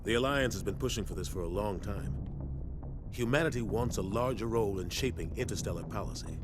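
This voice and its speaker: Man acting a low-voiced, grave military captain. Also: brisk, natural voice. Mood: earnest. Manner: firm, formal, briefing. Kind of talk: video game cutscene acting. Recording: music behind the voice.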